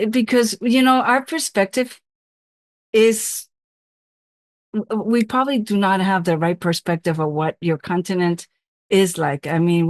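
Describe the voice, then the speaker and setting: A woman's voice: mid-range voice, no echo. A woman hosting a roundtable, curious and asking follow-up questions. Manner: inquisitive, asks follow-up questions. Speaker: host. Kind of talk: roundtable discussion.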